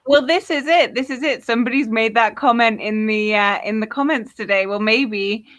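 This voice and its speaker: A woman's voice: fairly high pitch, normal speed, a bit bright. A woman with a posh Geordie accent hosting a football podcast, chatting casually and reading out viewer comments with many fillers. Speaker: podcast host.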